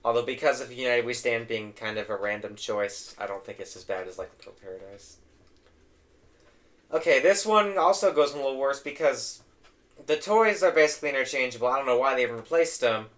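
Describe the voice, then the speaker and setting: A young man hosting a casual tier-list ranking, his voice going hoarse, opinionated and trailing off into low mumbles. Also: lively intonation, fairly quick, fairly bright tone. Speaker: host.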